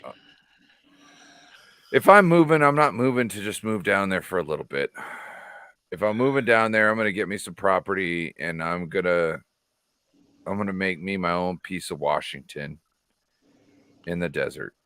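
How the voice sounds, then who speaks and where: A man's voice: deep voice, expressive, normal speed, pauses often, bright, forceful tone, clean tone, dry recording. A man from California chatting casually as a show host, rambling and swearing freely. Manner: loose, profane and rambling. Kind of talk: casual chat.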